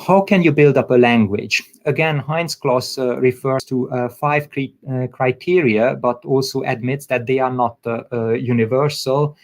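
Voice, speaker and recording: A man whose first language is Hungarian gives an academic lecture in English, pausing often with filler sounds. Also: mid-range voice. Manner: hesitant, many filler sounds.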